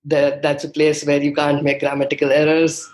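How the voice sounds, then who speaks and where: Man from India, flat delivery, fillers and restarts, interview guest. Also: a little high, moderate pace. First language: Hindi. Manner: thoughtful, with frequent fillers and restarts. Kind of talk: conversational interview.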